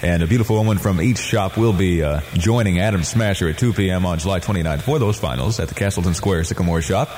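A man's voice: sits low, quick pace, few pauses, slight background noise. A man working as a radio DJ, making informal on-air announcements. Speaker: afternoon radio DJ. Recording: 1970s radio broadcast, loud music underneath. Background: loud music.

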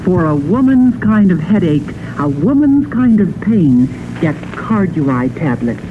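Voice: medium pitch, big pitch swings, a little slow, narrow, tinny audio. An announcer reading a scripted 1960 radio commercial on a thin, noisy old broadcast.

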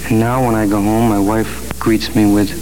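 A man delivering a wry, deadpan comic monologue for a 1960s radio commercial. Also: fairly low pitch, moderate pace, rough recording. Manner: deadpan, understated. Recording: old AM radio ad, faint music.

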